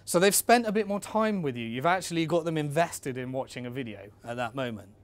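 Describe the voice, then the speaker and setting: A man's voice: a little high, animated, fairly quick. A man giving an informal instructional talk as a presenter, conversational and coaching, speaking directly to his listeners.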